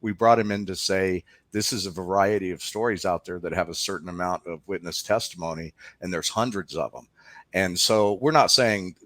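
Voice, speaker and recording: A man's voice: sits low, moderate pace, fairly bright tone, dry recording. A man speaking as a filmmaker guest in a conversational interview, explaining things emphatically.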